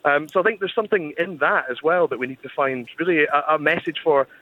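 A man with a Scottish accent, a historian guest explaining history on the radio in a measured, thoughtful way with hesitant pauses. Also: a little high, no room sound. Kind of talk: conversational expert explanation on radio. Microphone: phone line. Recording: tinny phone line with faint noise.

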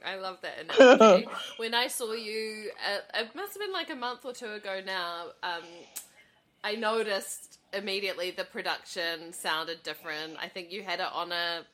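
A woman's voice: mid-range voice, normal speed, fairly bright tone, a little hiss or hum. Woman, interview host, gushing, upbeat. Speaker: radio host. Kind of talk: enthusiastic interview hosting.

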